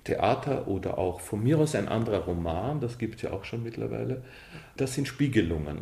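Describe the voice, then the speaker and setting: Man, fifties, low dark voice, emphatic thinker in interview, echoing room. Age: 50s. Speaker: author and director being interviewed. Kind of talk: reflective interview talk. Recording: roomy, reverberant interview sound.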